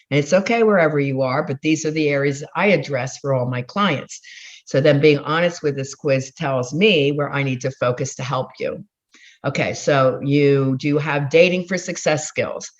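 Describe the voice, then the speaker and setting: A woman's voice: deep voice, lo-fi sound. A woman life and love coach giving a recorded class, speaking in an encouraging, motivational way straight to her listeners.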